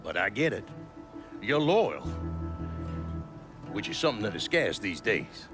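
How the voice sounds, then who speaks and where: Gregarious older crime boss for cinematic game, profane salesman patter, very lively intonation, music underneath. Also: lowish voice, unhurried. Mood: disappointed. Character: gregarious, ruthless, foul-mouthed older male mob boss. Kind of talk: cinematic video game dialogue. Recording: music behind the voice.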